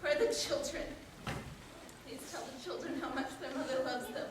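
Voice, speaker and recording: A young woman playing a gentle but defiant committed wife and mother in a school stage play. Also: sits high, moderate pace, natural voice. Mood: pleading. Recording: distant echoey school auditorium, noisy.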